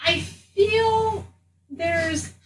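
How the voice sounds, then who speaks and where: A woman's voice: extremely high pitch, extremely slow, far from the mic. A woman speaking casually in character as a player in a tabletop game. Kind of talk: casual in-character role-play. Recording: echoey room, off mic, faint noise.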